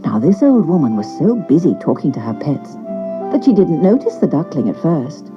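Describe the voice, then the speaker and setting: A woman narrating a scripted children's story in an expressive storyteller's style. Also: sits low, moderate pace, dull audio, music in the background.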